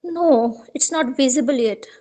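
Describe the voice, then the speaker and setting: A woman's voice: high-pitched, a little slow, warm tone. A woman moderating an online session, speaking politely and formally over a video call. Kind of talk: formal introduction.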